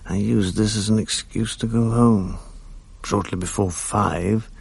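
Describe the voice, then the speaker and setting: A man's voice: on the low side, fairly even intonation, measured pace, creaky, a little mellow, slightly rough, dry recording, exaggerated voice. An actor playing an evasive middle-aged married man with a British accent in a naturalistic radio drama, speaking in an offhand, dryly understated way. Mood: confiding narration. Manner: offhand, hesitant, dry understatement. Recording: faint hiss on archive radio recording.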